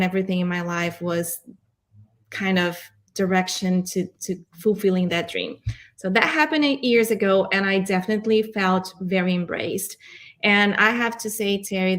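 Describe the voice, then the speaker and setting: A woman in her forties from Brazil with a Brazilian accent, answering interview questions in a candid, reflective, conversational way. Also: medium pitch. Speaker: interview guest, arts nonprofit director.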